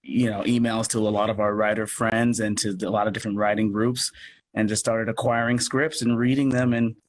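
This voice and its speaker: A man's voice: fairly low pitch, flat delivery, a little fast. An American man talking casually as an interview guest, in a rambling, self-effacing way. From United States. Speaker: guest, audio drama producer and actor. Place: live social media video call. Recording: noisy live video-call audio.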